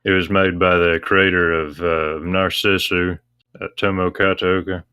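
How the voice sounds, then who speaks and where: A man with a low, monotone voice explains things as a guest in a casual discussion. His delivery is measured and matter-of-fact, with a crisp tone and a dry, close sound. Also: dry recording. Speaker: interview guest, visual novel translator.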